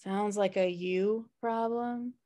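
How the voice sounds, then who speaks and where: A woman's voice: medium pitch, fairly even intonation, takes it slowly, dark tone, lightly acted. An American woman playing a lazy, flippant slacker sister in a comedy table read, with a casual, dismissive way of talking. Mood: grumpy, dismissive. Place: indoor room, video call.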